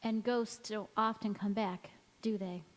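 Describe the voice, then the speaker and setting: A woman's voice: medium pitch, steady tone, measured pace, close to an everyday voice. An actress playing a wistful, ageless woman returning in secret, in an earnest old-time radio drama style. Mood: wistful, anxious. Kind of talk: 1940s radio drama acting on stage. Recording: echoey hall with faint noise.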